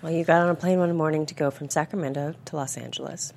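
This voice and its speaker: A mysterious woman, played by an actress, with a low-pitched voice. She sounds composed and eerily certain, as in a thriller table read, over slight background noise. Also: steady tone, fast talker, gravelly, a little mellow. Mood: businesslike.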